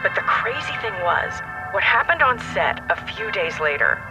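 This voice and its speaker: A woman playing a dramatic leading-lady actress, telling her story earnestly and haltingly in a TV interview. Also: mid-range voice, big pitch swings, music in the background, lightly acted, low-quality recording. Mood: insistent, mysterious. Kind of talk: TV talk show interview acting in audio drama.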